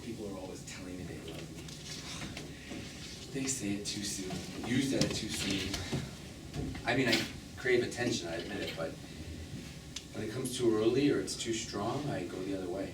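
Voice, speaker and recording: A man's voice: on the low side, moderate pace, pauses often, lightly acted. Noisy, far-off actor as flippant middle-aged man. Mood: subdued, confessional. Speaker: stage actor. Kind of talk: live stage drama acting. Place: small theatre, recorded from a distance. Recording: very noisy, echoey stage recording from afar.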